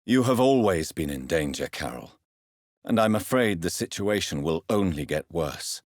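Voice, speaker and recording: An actor playing a grave, courteous vampire doctor in a video game, with a British accent, a husky voice and formal, measured phrasing. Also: male voice, sits low, lively intonation, no room sound, studio quality. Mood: somber. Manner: formal, measured, polite, probing. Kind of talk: dramatic video game dialogue.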